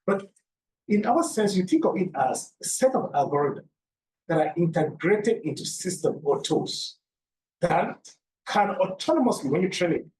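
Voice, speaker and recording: A man from Cameroon giving a seminar lecture, explaining by repeating key points and asking rhetorical questions. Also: a little high. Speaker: academic presenter. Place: lecture room. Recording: roomy, from a distance, faint background noise.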